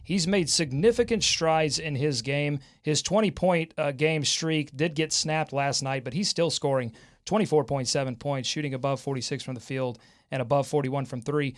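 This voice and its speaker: Higher-voiced male sports interviewer. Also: moderate pace. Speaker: sports show host, interviewer. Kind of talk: prepared sports interview questions with statistics.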